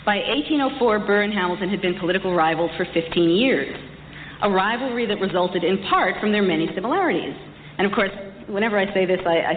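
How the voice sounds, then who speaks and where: Female lecturer, articulate and wry, mid-pitched voice, muffled recording in a reverberant hall. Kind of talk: prepared history lecture delivered to an audience. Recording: echoey hall, muffled, some background noise.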